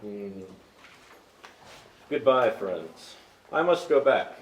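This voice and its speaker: A man's voice: fairly low pitch, very animated, drawn-out words, heavily acted. A man playing a faithful, solemn soldier knight in a staged reading of a stage musical. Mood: solemn, tender. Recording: echoey stage, distant mic, faint noise.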